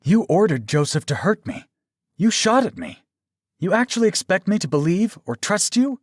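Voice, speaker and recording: A man's voice: high voice, very animated, normal speed, no room sound, studio quality, voice pushed up. A man voicing a frightened young woman heroine who protests with indignation and distress. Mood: indignant.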